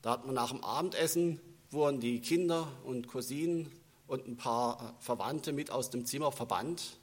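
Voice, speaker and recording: A man's voice: medium pitch, normal speed, slightly rough. A man giving an Advent sermon in a church, talking in a conversational way and telling personal stories, with a German accent that has a northern standard touch. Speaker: preacher. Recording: long church reverb.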